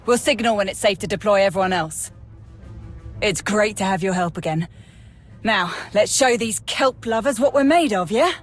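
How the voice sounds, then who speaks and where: An actress playing a brash, wry military major in a video game, blunt and breezy. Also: female voice, medium pitch, animated, moderate pace, soft background music, natural voice. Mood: upbeat, resolute.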